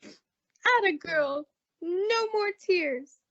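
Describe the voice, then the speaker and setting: A very high, fluttery voice for an excitable, sympathetic girlfriend played by a woman. The delivery is theatrical radio comedy, heard on a slightly dull recording. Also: very slow, creaky, full character voice. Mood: bracing. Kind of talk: old-time radio comedy acting. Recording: dull, lo-fi audio drama recording.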